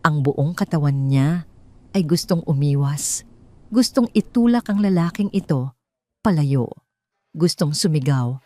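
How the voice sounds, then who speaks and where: A woman's voice: low voice, dark tone. A woman with a Filipino accent narrating a scripted dramatic audio story as a storyteller.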